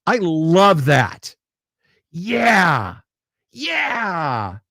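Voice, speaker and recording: A man's voice: high voice, wildly swooping pitch, drawn-out words, vocal fry, sharp tone, dry recording, studio quality. A man hosting a casual livestream, rambling, repeating his words and making sarcastic asides while reading viewer comments. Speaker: livestream host.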